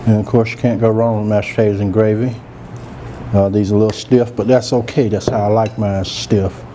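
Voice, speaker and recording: A soft-spoken man, chatting relaxed as he hosts a cooking demonstration in a large room. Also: fairly low pitch, fairly quick, slight background noise. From Georgia, US. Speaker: cooking show host, chef. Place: large room with a live audience.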